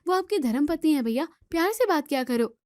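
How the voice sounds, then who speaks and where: Actress as young student daughter, outspoken and argumentative, highish pitch, dry recording, family drama. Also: female voice, lively intonation, a little fast, darkish tone, no room sound. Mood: indignant, reasoning. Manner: scolding, argumentative, emphatic rhetorical questions. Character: outspoken young student daughter defending her sister-in-law. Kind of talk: Hindi family drama voice acting.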